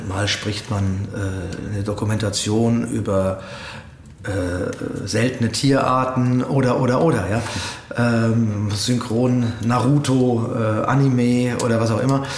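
A man giving reflective interview answers as a voice actor, halting and hesitant as he searches for words. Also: mid-range voice. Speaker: interview guest, audio drama voice actor. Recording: roomy echo with faint background noise.

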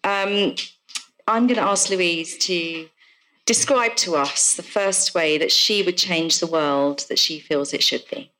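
A woman's voice: fairly low pitch, slow pace, pushed and bright, poor audio. A woman co-hosting a live podcast, asking teasing, probing questions. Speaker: podcast co-host.

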